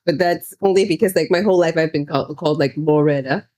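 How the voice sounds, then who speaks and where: A woman's voice: fairly low pitch, steady tone, quick pace, a little mellow. Young American-tinged woman chatting casually. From Southern Africa. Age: young adult. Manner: informal, frequent "like". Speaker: podcast co-host. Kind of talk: casual chat.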